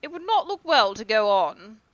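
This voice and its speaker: A woman voicing a cynical aristocratic gentleman who talks in an airy, drawling, dismissive way. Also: fairly high pitch, sing-song, moderate pace, no room sound, highs cut off. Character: languid, cynical older male aristocrat.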